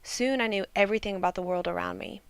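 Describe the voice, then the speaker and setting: A woman student interpreter voicing a signer's story in calm, measured first-person narration. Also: medium pitch, gravelly, clean tone, no echo.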